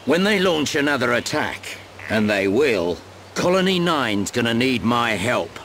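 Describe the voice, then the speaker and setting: A man playing a gruff, blunt middle-aged mentor warrior in a video game cutscene. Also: mid-range voice, very animated, measured pace, fairly bright tone, a little hiss or hum. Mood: gruff encouragement. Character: gruff older male mentor, secretly deceitful warrior.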